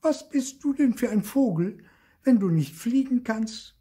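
An older man voicing a cheerful, cocky little bird in a storybook reading, boasting in a high voice. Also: animated, measured pace, slightly rough, exaggerated voice. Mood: mocking. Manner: bright boastful chirping.

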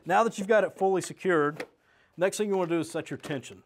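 A man's voice: high-pitched, fast talker, a little hiss or hum. A middle-aged American man presenting a step-by-step product demonstration as a sales rep, in a plain-spoken, practical way. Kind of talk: step-by-step instructional product demo. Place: small indoor studio set with desk and shelves.

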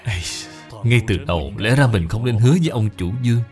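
A man's voice: on the low side, lively intonation, fairly quick, darkish tone, faint music behind, close to an everyday voice. A man voicing an earnest, conscientious Buddhist businessman in a moral cartoon, with a polite and pleading manner. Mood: anxious, regretful. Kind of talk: dubbed moral cartoon dialogue.

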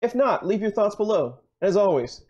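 A young man hosting a channel, briskly running through a list of tools in a scripted, upbeat way with dry jokes, recorded in a basement workshop. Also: sits high, big pitch swings, darkish tone. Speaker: channel host. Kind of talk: scripted tool rundown.

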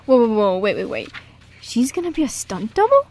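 A woman playing an excitable, old-fashioned male theater director in an amateur radio play. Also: fairly high pitch, moderate pace. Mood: excited.

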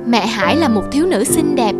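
A woman voicing a gentle, kind young teacher in a cartoon. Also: a little high, expressive, brisk, close to an everyday voice. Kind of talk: cartoon voice acting. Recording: music behind voice.

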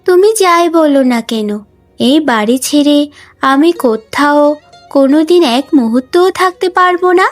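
A woman's voice: high voice, lively intonation, moderate pace. A woman voicing a sweet, selfless younger daughter in a cartoon story, earnest and affectionate. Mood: earnest, devoted. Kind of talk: Bengali cartoon story dubbing. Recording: music bed under the voice.

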